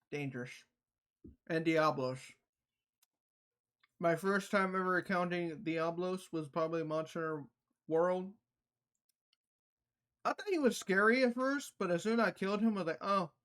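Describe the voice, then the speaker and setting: A man livestreaming casual reaction commentary, talking in a slangy, profane, animated storytelling way. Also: high-pitched.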